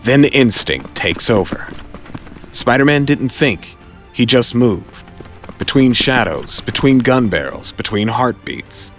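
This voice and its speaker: A man's voice: mid-range voice, animated, slow pace, faint music behind. A man delivering dramatic scripted narration as the narrator of an audio story.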